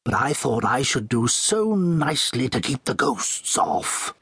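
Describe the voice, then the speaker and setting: Man playing a breezy, teasing chap, husky voice. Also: medium pitch, very animated, measured pace, a bit bright, no echo, studio quality, voice pushed up, exaggerated voice. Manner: bantering, breezy. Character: jovial, teasing male friend, breezy and mischievous. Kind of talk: audiobook character dialogue.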